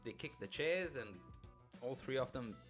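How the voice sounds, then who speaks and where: A man's voice: mid-range voice, lively intonation, moderate pace. Informal man from Afghanistan, music behind him. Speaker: podcast co-host. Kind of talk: casual personal storytelling.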